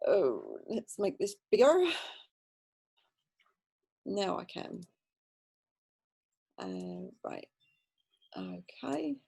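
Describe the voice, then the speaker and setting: A woman's voice: mid-range voice, wildly swooping pitch, drawn-out words, creaky, pauses often, warm tone, husky. A woman giving an informal, rambling slide presentation on a video call. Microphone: video call microphone.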